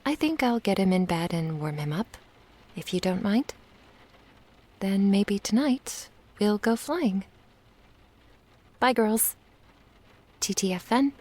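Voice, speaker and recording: Woman acting a breathy, slow-spoken lovestruck witch. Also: mid-range voice, expressive, darkish tone. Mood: grateful, fond. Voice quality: breathy, whispery. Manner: slow, tender, intimate delivery. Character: lovestruck lonely witch, soft-spoken and seductive. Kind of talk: ASMR roleplay acting.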